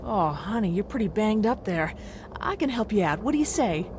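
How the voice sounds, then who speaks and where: A woman's voice: mid-range voice, big pitch swings, moderate pace, background noise, lightly acted. An actress playing a kindly female camp medic in a video game, soothing and caring.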